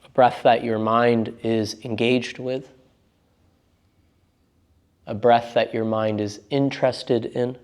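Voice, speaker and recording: A man guiding a meditation as a teacher, speaking in a gentle, unforced and reassuring manner. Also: mid-range voice, little pitch movement, slow, pauses often. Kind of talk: guided meditation instruction.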